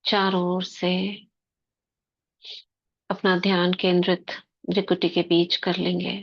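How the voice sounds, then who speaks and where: Slow, flat-voiced meditation guide woman. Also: lowish voice, vocal fry, muffled recording. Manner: slow, soft, spoken in short phrases with long pauses.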